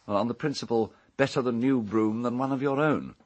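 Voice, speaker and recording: An actor playing an urbane, haughty English castle owner in a radio drama, with a husky voice and pompous, measured formal speech. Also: male voice, medium pitch, darkish tone, lo-fi sound, close to an everyday voice. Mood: dry, speculative.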